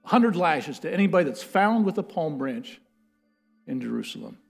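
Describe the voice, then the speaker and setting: A man in his thirties delivers a sermon from a church stage, his fairly high voice rising and falling as he tells the story. Faint music sits under his voice. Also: normal speed, vocal fry. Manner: animated storytelling, building to loud chanted quotes. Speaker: pastor. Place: church stage with a lectern, large indoor room.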